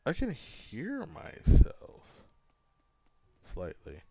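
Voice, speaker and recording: Hungover male streamer, slow, rambling, lively pitch. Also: breathy edge, lo-fi sound. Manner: rambling, halting, talks to chat while fiddling with setup. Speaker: gaming livestreamer. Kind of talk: casual livestream chat.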